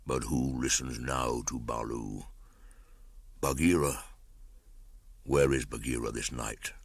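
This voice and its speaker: A weary old bear, voiced by a male storyteller, speaks slowly and gently. The voice is extremely low and grave, a tender old teacher in a storybook, with a dry, close sound. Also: fairly even intonation, no echo, heavily acted.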